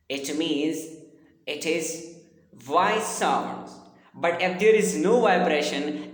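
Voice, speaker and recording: A man delivers a lesson at an extremely slow, deliberate pace. His voice sits a little high for a male speaker, and he explains formally, restating his points. The sound is echoey, with a long reverberant tail. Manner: deliberate, repetitive teaching with formal address to listeners. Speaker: linguistics lecturer.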